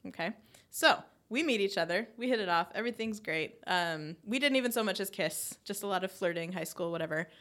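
A woman's voice: mid-range voice, animated, brisk, a bit bright. Chatty late-30s woman telling a story. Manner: chatty storyteller, laughs often. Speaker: podcast co-host. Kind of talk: casual chat.

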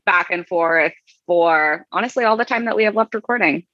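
A woman's voice: lowish voice, normal speed, pushed and bright. A woman co-hosting a podcast in a casual chat, giving brief supportive replies. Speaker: podcast co-host. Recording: dull lo-fi sound.

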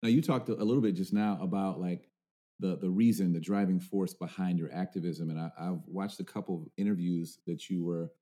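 Deep, dark-toned male interviewer. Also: fairly even intonation, fairly quick. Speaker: interviewer host. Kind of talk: interview conversation.

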